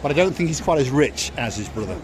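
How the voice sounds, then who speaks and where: A man's voice: mid-range voice, animated, quick pace. A man from North Wales with a Welsh accent, hosting a walking vlog and joking with people he meets. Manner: jokey, upbeat, chatty with strangers. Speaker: vlog host. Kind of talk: walking vlog chat, guiding a camera. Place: busy harbour front outdoors at evening. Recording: outdoor crowd chatter behind.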